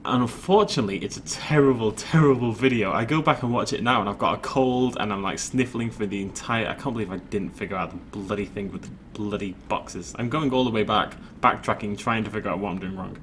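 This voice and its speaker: A man's voice: fairly low pitch, fast talker, few pauses, fairly bright tone, slight background noise. A man casually rambling while commenting on his own gameplay, chatty and self-deprecating. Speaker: let's play host.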